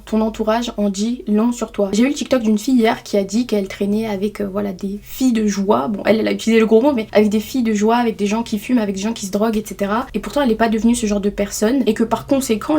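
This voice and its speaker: A woman's voice: mid-range voice, mellow, husky. A young adult woman giving earnest self-help advice straight to the viewer, in a casual vlog. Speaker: self-development vlogger. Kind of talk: direct-to-camera advice talk. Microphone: camera mic.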